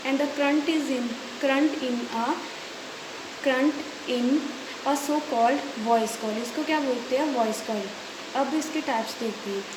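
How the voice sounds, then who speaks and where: A woman's voice: high voice, slow pace. A woman with an Indian accent giving a lecture as an electronics teacher, reading out notes and explaining them, often checking in with short tags. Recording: echoey room with hiss and noise.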